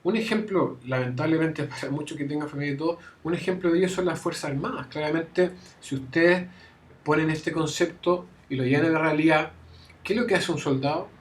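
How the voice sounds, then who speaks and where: A man giving a university lecture, often restarting phrases and checking in with his students. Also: fairly high pitch. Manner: frequent fillers and restarts, checks understanding with "¿ya?". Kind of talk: classroom lecture. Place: classroom.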